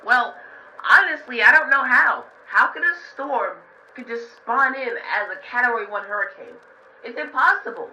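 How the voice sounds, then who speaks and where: A man's voice: very high voice, normal speed, bright, forceful tone, heavily acted. A man playing a bewildered, rambling space cadet phoning into a mock newscast. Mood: baffled. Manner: rambling, flustered. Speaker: interviewed guest. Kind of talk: improvised mock news interview. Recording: muffled lo-fi line, faint noise.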